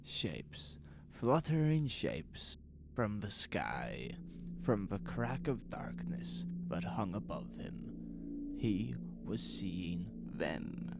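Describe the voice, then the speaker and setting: A man narrating a horror audio drama in a suspenseful, deliberate way, with dramatic pauses. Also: on the low side, sing-song, gravelly, heavy background noise. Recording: music under the voice. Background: music.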